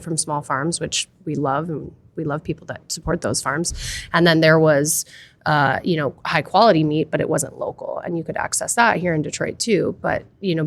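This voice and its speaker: A woman chef in casual podcast conversation, earnest and matter-of-fact. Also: sits low.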